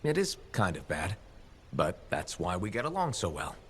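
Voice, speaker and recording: A wry freelancer with a low, lively voice. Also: male voice, normal speed, a little hiss or hum. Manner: dry, sarcastic quips. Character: wry male freelancer pilot, young adult. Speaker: freelancer, player character.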